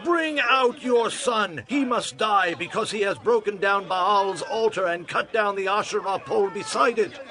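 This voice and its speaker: A man playing an angry, hostile townsman, forceful and accusing, in a dramatized audio Bible. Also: sits high, a little slow, few pauses, full character voice. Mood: outraged.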